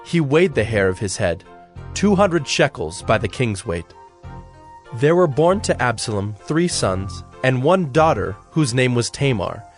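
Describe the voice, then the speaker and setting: Solemn male scripture narrator, low-set measured delivery. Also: big pitch swings, faint music behind. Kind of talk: scripted dramatized Bible narration.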